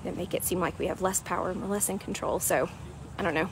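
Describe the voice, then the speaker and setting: A woman's voice: fairly low pitch, fast talker, background noise. A woman, a weight loss coach chatting casually in a walk-and-talk vlog, explaining things with lots of "right?" and "um". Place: outdoors at a beach park. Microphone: wired earphone mic, phone at arm's length.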